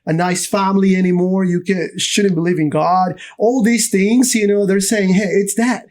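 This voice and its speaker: A man's voice: high-pitched, steady tone, normal speed, a little mellow. A man from Ecuador hosting a podcast in an enthusiastic, informal chat, addressing both his guest and the audience. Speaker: podcast host.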